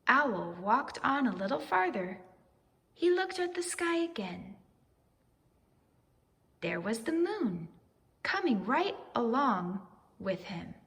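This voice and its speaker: A woman narrates a children's storybook with expressive, swooping intonation. Her delivery is slow and measured, with a creaky edge. Long reverb trails the voice. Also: on the high side. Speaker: storybook reader. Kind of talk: expressive children's read-aloud.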